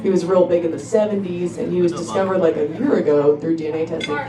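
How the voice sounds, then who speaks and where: A young woman performing a stand-up comedy set with a laid-back, halting delivery full of ums. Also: lowish voice. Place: comedy club stage. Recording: roomy club recording from a distance, crowd noise. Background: audience laughter.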